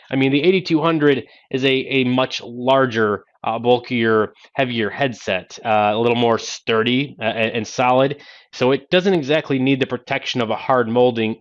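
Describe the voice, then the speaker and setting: A man in his thirties hosting a casual tech unboxing in a halting, conversational way, speaking into a Bluetooth headset in a small office. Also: mid-range voice. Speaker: tech video host demonstrating a headset. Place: small home office, indoors. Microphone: single-ear Bluetooth headset. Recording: dull narrow-band Bluetooth headset sound.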